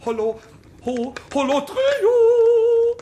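A man playing a mischievous, childlike little kobold in a children's radio play, squeaky and scratchy, stammering eagerly and slipping into rhymes. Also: sing-song, very slow, dark tone, echoey room, heavily acted. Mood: gleeful, singing. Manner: stammering eagerly, rhyming, sing-song exclamations.

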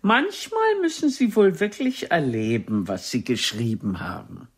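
An imperious, slow-spoken ageing diva. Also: female voice, sits low, huge pitch swings, husky, exaggerated voice. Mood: cool, dignified. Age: older. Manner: declamatory, haughty, teasing, drawn-out delivery. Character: imperious, theatrical ageing stage actress. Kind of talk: radio play acting.

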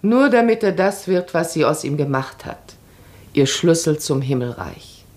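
A firm, perceptive aristocratic baroness in a radio drama. Her voice sits a little low but moves widely in pitch. She speaks eloquently and decisively, with clear emphasis. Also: lively intonation, a little slow, a little mellow. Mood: insistent, impassioned. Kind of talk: radio play acting.

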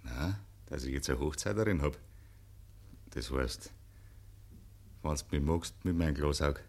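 A man's voice: a bass voice, expressive, unhurried, a little mellow, exaggerated voice. A man playing an eager, good-humoured suitor in a Bavarian dialect radio drama from the 1950s, coaxing and earnest. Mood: tender.

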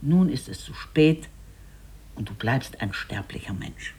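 A storyteller voicing a solemn old sage from a Chinese tale, instructing in a measured, grave manner. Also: medium pitch, expressive, darkish tone, breathy edge, voice pushed down. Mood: grave disappointment.

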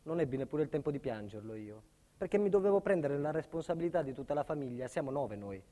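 Man acting proud burdened builder, earnest stage monologue, raised pitch, emphatic pauses, long reverb. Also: expressive, mellow, natural voice. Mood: grieving, heavy. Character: proud, burdened Calabrian builder telling his life story. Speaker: lead actor and narrator. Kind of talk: theatrical monologue, dramatic storytelling.

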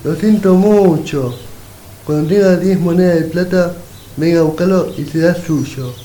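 A man's voice: a little high, unhurried, mellow, a little hiss or hum, natural voice, low-quality recording. A man playing a frank, kindly village blacksmith who sells horses, speaking plainly in an amateur radio play. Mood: frank, friendly. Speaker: amateur radio theatre actor. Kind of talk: radio theatre acting.

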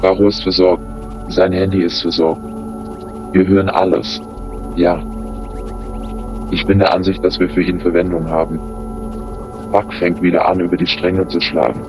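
A shady operative in a radio drama, speaking very low with little movement in pitch. He is terse and businesslike, with prominent music behind him. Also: level tone, normal speed, pauses often, low-quality recording. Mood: cold, businesslike.

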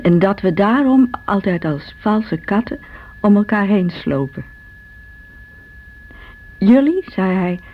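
An actress playing an envious, bitter sister, confiding her brooding recollections in a radio drama. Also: medium pitch. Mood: reflective, then agitated doubt. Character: Irene, envious, bitter divorced sister, self-doubting. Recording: dull radio recording with faint hum.

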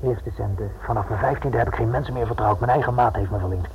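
An actor playing a gruff, desperate small-time crook in a radio play, wary and streetwise in his talk. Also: medium pitch, fast, close to an everyday voice. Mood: wary, confiding. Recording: muffled, noisy old radio recording.

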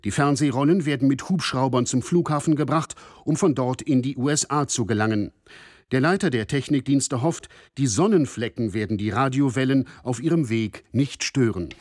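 Man, acted sober radio reporter, scripted reportage, measured, factual, medium pitch, close dry recording. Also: darkish tone, no echo, lightly acted. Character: sober male radio news reporter at a trial.

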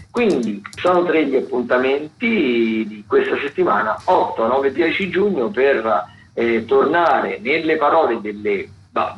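A man hosting a radio arts programme, talking through theatre listings in a semi-improvised way, often searching for words and repeating himself. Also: medium pitch. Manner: hesitant, searching for words with repetitions. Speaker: radio host presenting a theatre events roundup. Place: radio studio. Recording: dull lo-fi radio sound, faint noise.

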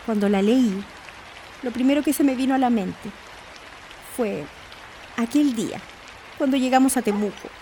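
A woman's voice: a little high, moderate pace, long pauses, dark tone, a little hiss or hum, natural voice, low-quality recording. A woman playing a nostalgic, teasing wife in a radio drama. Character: nostalgic wife reminiscing, teasing her husband.

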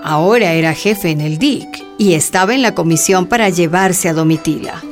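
A woman's voice: fairly low pitch, normal speed, soft background music, natural voice. A woman acting as the narrator of a radio drama, reading scripted dramatic narration. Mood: narrating.

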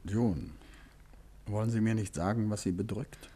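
An actor playing an urbane, tactful but insistent gentleman detective in a 1950s German radio drama, polite and measured as he probes. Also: lowish voice, breathy edge.

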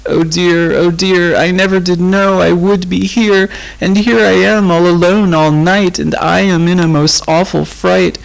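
A man voicing a lonely little girl who sings to herself in the dark in a high, wavering sing-song. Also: steady tone, unhurried, creaky, hardly any pauses, low-quality recording. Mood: frightened.

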